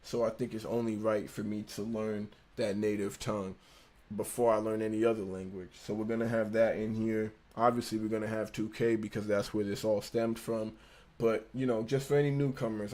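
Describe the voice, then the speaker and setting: A young man with a slight lisp reads through an engineering textbook on a relaxed livestream. His voice sits a little low and sounds mellow and unhurried. He drops into easy slang and backs up to reread lines when he stumbles. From United States. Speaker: livestream host studying engineering. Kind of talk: casual livestream, reading a textbook aloud.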